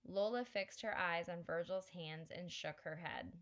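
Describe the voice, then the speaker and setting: A woman narrating a children's novel aloud in a clear, steady storytelling voice. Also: lowish voice, unhurried. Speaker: audiobook reader.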